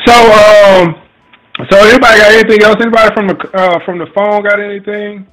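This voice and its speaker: A man hosting a conference call in an informal, halting way, often repeating himself as he goes. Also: high voice, level tone, fairly bright tone, poor audio. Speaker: host of an investing conference call. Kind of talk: casual hosting, informal chat.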